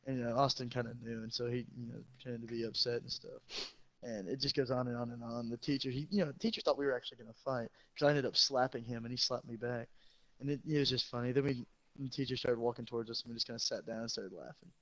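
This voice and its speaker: A teenage boy, a high school football player, telling stories in a casual, profane and boastful way. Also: steady tone, fast talker, a bit bright, a little hiss or hum. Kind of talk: casual storytelling chat. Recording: dull lo-fi sound.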